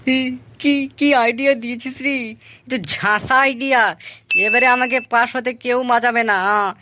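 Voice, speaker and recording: A woman's voice: a little high, fairly quick, noisy background, natural voice. A woman voicing a cheeky, scheming young male student with a colloquial manner in a comic cartoon. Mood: excited. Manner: colloquial, brazen, excitable. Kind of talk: amateur comic cartoon voice acting. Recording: music behind the voice.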